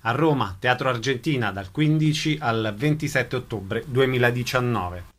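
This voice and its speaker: A man reading a scripted radio programme announcement in a formal, measured way. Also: mid-range voice.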